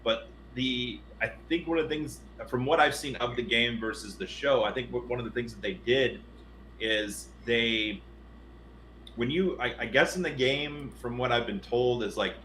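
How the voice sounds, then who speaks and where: A bright, forceful male voice in a roomy, echoing space with faint noise. He co-hosts a casual podcast, rambling through his opinions in an animated way and swearing as he goes. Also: medium pitch, moderate pace. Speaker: podcast co-host. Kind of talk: casual film and game discussion. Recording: roomy echo with background noise.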